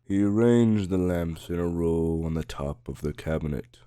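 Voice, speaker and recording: A man's voice: low voice, normal speed, mellow, clear, resonant tone, no room sound, studio quality. A man reading scripted fiction as an audiobook narrator, in a dramatic storytelling style.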